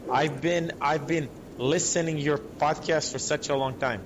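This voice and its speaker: A man's voice: fairly high pitch, fairly even intonation, measured pace, poor audio. A man from Montenegro, a restaurant owner, speaking as a podcast guest in English, effusive and thankful. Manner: warm, grateful, effusive. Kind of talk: casual interview talk. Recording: audible background noise.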